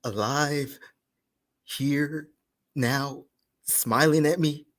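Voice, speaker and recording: A man performing a dramatic monologue as a bitter, grieving young man, emotional and halting with heavy pauses. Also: fairly high pitch, fairly bright tone, pitched above the performer's own voice. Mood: distraught.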